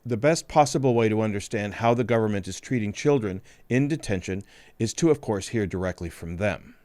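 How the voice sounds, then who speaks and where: A male podcast host reading a scripted news report in a grave, measured way. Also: lowish voice, darkish tone, no echo.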